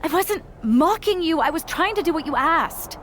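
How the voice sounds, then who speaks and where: A perky young wannabe witch in a wrestling game. Her voice is high and swoops widely, with a pleading, theatrical manner. Some noise sits behind her. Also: expressive, moderate pace. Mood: defensive. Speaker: voice actor in a video game cutscene. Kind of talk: animated video game acting.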